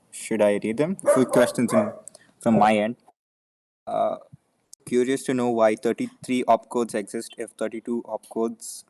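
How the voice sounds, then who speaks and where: Flat-voiced man on a noisy line. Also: lowish voice. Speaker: moderator reading chat questions. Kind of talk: reading questions in an online meeting.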